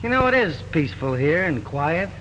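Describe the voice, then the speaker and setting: An actor playing a wisecracking young detective in an old film, talking briskly and teasing. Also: male voice, on the high side, very animated, a bit bright, lo-fi sound, slight background noise. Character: wisecracking young male detective, eager groom. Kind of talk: 1930s film dialogue.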